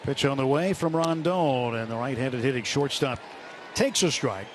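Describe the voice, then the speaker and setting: A man's voice: medium pitch, normal speed. A man doing live play-by-play baseball commentary on the radio. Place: broadcast booth at a ballpark. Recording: noisy stadium radio broadcast. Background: steady ballpark noise.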